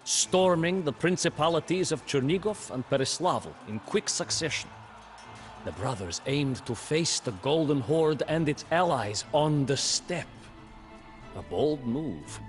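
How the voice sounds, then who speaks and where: An actor narrating a game's historical campaign as a male chronicler, in slow, measured scripted storybook narration. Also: medium pitch, natural voice. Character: male captive chronicler telling a war tale. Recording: studio narration over faint music.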